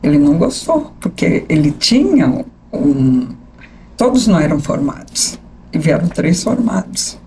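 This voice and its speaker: A woman in her sixties with a São Paulo accent, a journalist telling her life story as a podcast guest, animated and often asking "né?". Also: sits low, a little slow, a little hiss or hum, poor audio. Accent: São Paulo capital Portuguese. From São Paulo state. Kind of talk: conversational interview storytelling.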